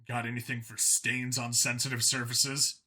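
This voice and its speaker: A man's voice: mid-range voice, flat delivery, normal speed, sharp tone. A man voicing a tough human undercover cop in a sci-fi audiobook, wry and casually threatening.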